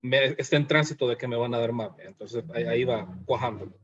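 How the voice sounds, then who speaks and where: A man from El Salvador describes his research plan to his class in a measured way, often tagging his sentences with questions. He speaks from a video call, and the sound comes through dull and low-fidelity. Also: fairly bright tone, slightly rough. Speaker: doctoral student.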